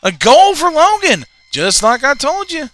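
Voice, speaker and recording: A man in his twenties calling a high school soccer game, chatting in rambling asides between plays. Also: big pitch swings, measured pace, a bit bright, dry recording. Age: 20s. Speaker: play-by-play commentator.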